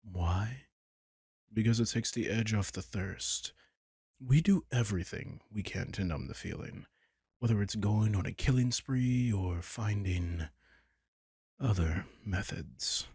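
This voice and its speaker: A man playing a possessive, sardonic vampire king in an intimate roleplay audio drama, teasing and condescending with a husky, breathy edge. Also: lowish voice, slow pace, darkish tone, dry recording, studio quality, full character voice. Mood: weary, relaxed. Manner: teasing, condescending, casually profane.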